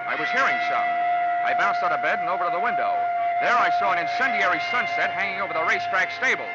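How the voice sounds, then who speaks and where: A man's voice: on the high side, lightly acted. A man acting a wry, hard-boiled insurance investigator in 1940s radio detective style, with clipped wisecracking delivery. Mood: tense narration. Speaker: lead actor and narrator. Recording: dull vintage radio recording, music behind.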